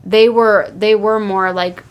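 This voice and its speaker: A woman's voice: medium pitch, steady tone, takes it slowly, clean tone, dull audio. A woman co-hosting a casual wrestling discussion podcast, enthusiastic and full of opinions. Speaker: podcast co-host.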